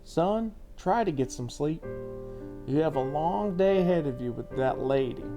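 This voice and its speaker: A man's voice: fairly high pitch, lively intonation, a little slow. Man acting a weary, blunt father, music underneath. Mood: loving. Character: weary sheriff father, ex-military, blunt but loving.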